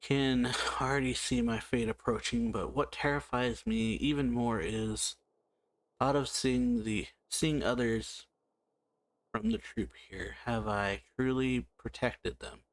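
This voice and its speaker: A man hosting a let's-play, casually commenting and slowly reading game text aloud, with frequent musing hums. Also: medium pitch, dry recording, poor audio. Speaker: gameplay channel host.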